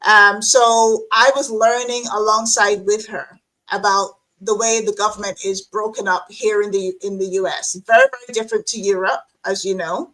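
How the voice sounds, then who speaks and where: A woman's voice: mid-range voice, level tone, normal speed, a bit bright, rough recording. A woman hosting an interview show, chatting casually over a remote call with lots of fillers and restarts. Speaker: host.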